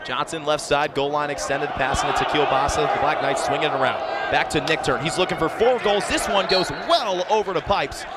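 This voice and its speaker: A man's voice: on the high side, a little fast, hardly any pauses. A man doing live lacrosse play-by-play on radio as a sportscaster, describing the action urgently. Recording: very loud crowd and arena noise. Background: loud game crowd.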